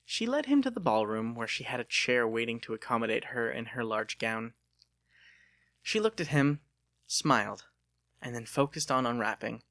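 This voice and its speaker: A male narrator reads a story dramatically. His medium-pitched voice moves expressively up and down, and the recording is very dry with little room sound. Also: sing-song, moderate pace, fairly bright tone, no room sound. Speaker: audiobook narrator.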